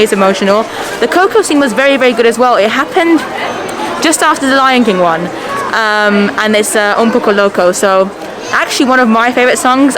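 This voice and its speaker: A woman from Switzerland vlogging casually to a handheld camera, chatty and gushing. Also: mid-range voice. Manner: chatty, gushing, frequent laughter. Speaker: theme park vlogger. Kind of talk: casual vlog chat to camera. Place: busy theme park with music and crowds. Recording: loud park music and crowd noise behind.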